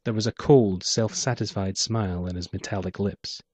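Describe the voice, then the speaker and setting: A man's voice: fairly low pitch, steady tone, normal speed, a little mellow, husky, dry recording. A man reading his own noir short story aloud as scripted narration. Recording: dull muffled lo-fi audio.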